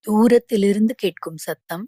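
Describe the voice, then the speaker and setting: A woman guiding a yoga nidra relaxation, giving calm, measured instructions with pauses between phrases. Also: mid-range voice, dry recording, studio quality. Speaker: yoga nidra meditation guide.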